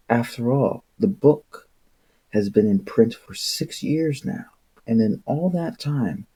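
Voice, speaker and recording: A man livestreaming a book reading in a casual, rambling, irreverent way, with a husky voice and an exasperated, sweary manner. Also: medium pitch, warm tone. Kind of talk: casual rambling livestream with read-aloud passages.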